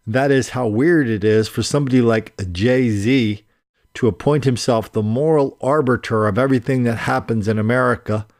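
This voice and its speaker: Commentator guy, indignant monologue, hammers his points, soft music underneath. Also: mid-range voice, dry recording, studio quality. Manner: indignant, emphatic repetition. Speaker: political commentator. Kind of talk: opinion monologue.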